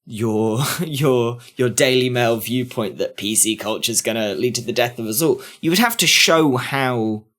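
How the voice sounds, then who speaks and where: A man in a casual review discussion as a podcast panelist, halting as he searches for words. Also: medium pitch, a bit bright.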